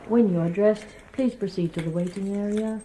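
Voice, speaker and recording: A woman's voice: mid-range voice, normal speed, warm tone, slight background noise, lightly acted, low-quality recording. A woman playing an impassive automated announcement system, giving impersonal, scripted instructions in a radio drama.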